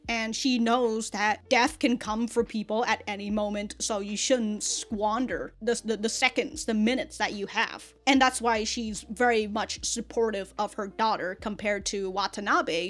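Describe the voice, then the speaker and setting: A woman giving a casual, animated episode review as a reaction host, with slangy, sweary talk. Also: a little high.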